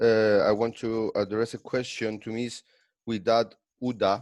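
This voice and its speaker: A man, a newspaper journalist, putting a measured question at an online press conference. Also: on the low side, little pitch movement, darkish tone, no room sound. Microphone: video call.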